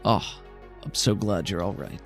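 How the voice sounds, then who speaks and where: A man playing a gentle, loving father in a tabletop game, speaking in halting, reassuring tones. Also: low-pitched, faint music behind, pitched below the performer's own voice. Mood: relieved, tender. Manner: halting, soft reassurance with frequent stammers.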